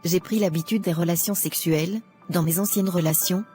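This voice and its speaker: A woman playing a discontented, regretful but resolute young rich girl in an animated drama. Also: on the low side, brisk, soft background music, natural voice. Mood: complaining.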